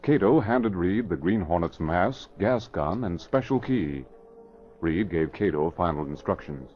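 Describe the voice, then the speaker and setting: Deep-voiced male narrator of vintage radio mystery. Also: lively intonation, measured pace, soft background music. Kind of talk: 1940s radio drama narration, scripted. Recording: muffled vintage radio recording.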